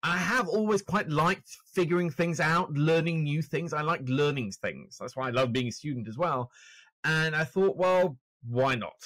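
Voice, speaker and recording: A man's voice: on the high side, moderate pace, fairly bright tone, no echo. A man in his forties from Norway who speaks with a British accent, chatting as a guest on a video-call podcast, self-deprecating and full of restarts. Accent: British English. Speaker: guest author and finance journalist. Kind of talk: conversational interview. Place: home room with shelves, indoors. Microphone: podcast microphone on stand, video call.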